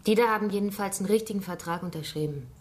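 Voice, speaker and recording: A woman's voice: mid-range voice, rapid-fire, close to an everyday voice. An actress playing an insistent, outspoken woman activist in a radio drama, speaking in a forthright, indignant manner. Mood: firm, defiant.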